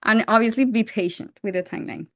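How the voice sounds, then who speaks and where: A woman's voice: medium pitch, fast talker, fairly bright tone, no echo, studio quality. A woman in her forties with a Colombian accent, a startup founder answering interview questions with frequent restarts and fillers. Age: 40s.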